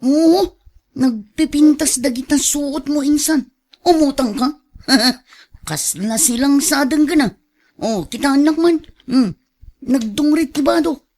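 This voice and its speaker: A woman's voice: sits high, measured pace, warm tone. A woman playing an outspoken, sceptical, teasing female relative in an Ilocano radio drama, with an Ilocano accent. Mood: teasing, mocking. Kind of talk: Filipino radio drama acting.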